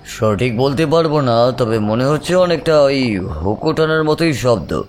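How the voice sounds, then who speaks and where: A man acts out an uneasy young caretaker in a horror audio drama. His pitch swings widely as he speaks with dramatic emphasis. He sounds educated, and music plays clearly behind him. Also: expressive, a little slow, close to an everyday voice. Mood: wary, puzzled. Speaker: voice actor. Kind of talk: audio horror story acting.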